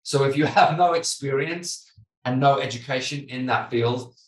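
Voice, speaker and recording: A man's voice: mid-range voice, moderate pace. A British man in his thirties hosting a podcast over a video call, talking casually and animatedly, with many restarts. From Britain. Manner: animated, gestures with his hands, frequent restarts. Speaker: podcast host. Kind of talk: casual conversation. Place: home office, video call. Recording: echoey room, mic at a distance.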